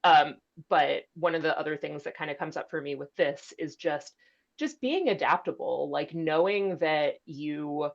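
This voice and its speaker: A woman's voice: low-pitched, measured pace, gravelly. A woman speaking casually on a librarians' panel, sprinkling in "like", on slightly dull audio. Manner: casual, frequent "like". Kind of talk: informal panel discussion.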